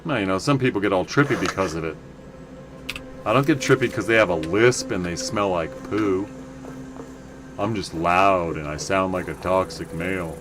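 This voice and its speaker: A man casually commenting on his game for viewers, rambling and correcting himself as he goes, with a loud voice. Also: deep voice, normal speed, music in the background. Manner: rambling, self-correcting, chatty asides to viewers. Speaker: livestream game player.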